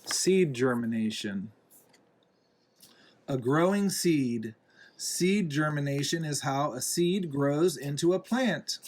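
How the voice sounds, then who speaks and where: A man reading a children's picture book aloud in a deliberate way, pausing between phrases. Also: a little high, a little hiss or hum. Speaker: reader, parent reading to a young child.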